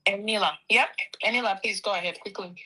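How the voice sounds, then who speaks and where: A woman's voice: medium pitch, fast, pushed and bright, husky, poor audio. A Nigerian woman hosting a live audio discussion, speaking in an earnest, pleading way. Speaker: host.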